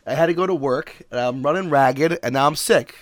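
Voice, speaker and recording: A man's voice: fairly high pitch, brisk, slight background noise. A man co-hosting a comedy podcast while stuffy with a cold. He jokes around with playful, mock-hyped banter. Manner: joking, mock-hype banter. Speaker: podcast co-host. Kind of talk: casual comedic chat.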